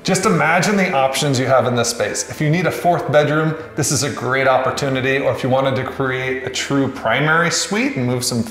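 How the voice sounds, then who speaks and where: A man's voice: medium pitch, fairly quick, hardly any pauses, fairly bright tone. Enthusiastic real estate agent man, echoey. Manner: enthusiastic, salesy. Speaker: real estate agent presenting a home tour. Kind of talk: upbeat promotional presentation to camera. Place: house porch and empty wood-panelled rooms. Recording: roomy echo, music underneath. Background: background music.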